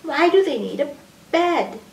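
An excitable little storybook animal boy, played by a woman, asks and exclaims eagerly in a high voice that swings up and down. The sound is roomy, picked up a little way from the microphone. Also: a little slow, creaky, heavily acted. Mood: eager, excited. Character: Robbie, excitable curious young male animal child. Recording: roomy echo, distant microphone.

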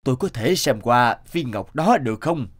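An actor playing a stout, middle-aged connoisseur in an animated fable, grave and admonishing, explaining in measured phrases. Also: male voice, mid-range voice, lightly acted. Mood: surprised.

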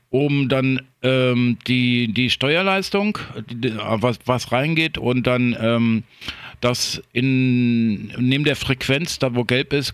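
A man's voice: medium pitch, a bit bright, dry recording. A man in casual, unscripted radio chat as an amateur operator, often repeating and restarting his words.

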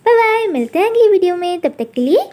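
Cooking host, woman, high mellow voice, calm step-by-step recipe voice-over. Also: fast talker. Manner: calm instructional narration.

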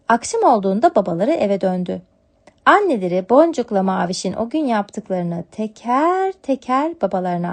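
A woman's voice: medium pitch, vocal fry, darkish tone. A woman narrating a scripted storybook tale for children as a storyteller.

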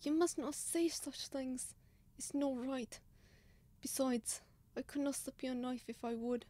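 A woman's voice: high voice, steady tone, unhurried, warm tone, no room sound. A woman playing a pious, timid, kindly old male servant, lamenting in old-fashioned radio drama style. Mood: kindly, concerned.

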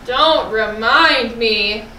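A woman voicing a worried, grumbling young puppet sailor in a children's show, with slow, exaggerated delivery. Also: fairly high pitch, pushed and bright, heavily acted. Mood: puzzled. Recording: roomy echo, slightly distant mic, faint noise.

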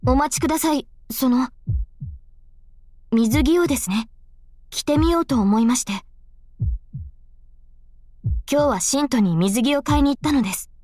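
A woman voicing an earnest young female knight in a visual novel, speaking politely and formally with hesitant pauses. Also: high voice, dark tone, dry recording, studio quality. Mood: bashful, hesitant.

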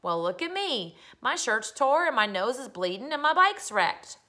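A woman's voice: a little high, big pitch swings, normal speed, pitched above the performer's own voice. A woman voicing a reckless, dramatic young boy crying out in a children's story. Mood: tearful. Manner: yelling, dramatic.

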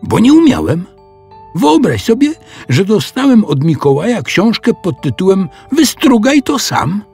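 An excitable storybook schoolboy, played by a man gushes in a high, loud voice with big swoops in pitch. He sounds proud and a little boastful. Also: a little slow, creaky, breathy edge, soft background music, full character voice. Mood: proud, excited. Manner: gushing, boastful.

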